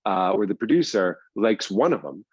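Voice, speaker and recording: Low-voiced man explaining in an interview, muffled. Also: sing-song, moderate pace, slightly rough, no room sound. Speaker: guest, screenwriter and audio drama producer. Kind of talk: conversational interview answers, explanatory anecdotes.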